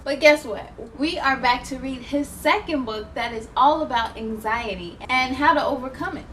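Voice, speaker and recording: Female children's story host, high voice, expressive and playful read-aloud delivery. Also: moderate pace, slight background noise. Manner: expressive, playful, addresses young listeners directly.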